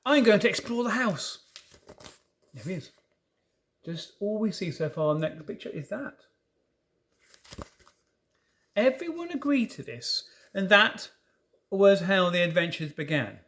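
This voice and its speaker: A man reading a children's story aloud in an expressive way, with informal asides to listeners. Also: high-pitched, measured pace, pauses often. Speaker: storybook reader.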